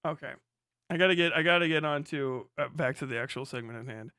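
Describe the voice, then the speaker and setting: A man's voice: fairly high pitch, fast talker, pushed and bright, clear, resonant tone, no room sound, clean studio sound. An American man giving casual, off-the-cuff livestream commentary in a rambling, sardonic, profane way. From United States. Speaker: live streamer, political commentator.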